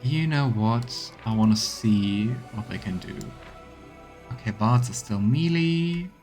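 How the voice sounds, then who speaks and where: A man's voice: fairly low pitch, expressive, slow pace, a little mellow. Casual man streaming a game over music. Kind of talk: let's play commentary, reading game text aloud.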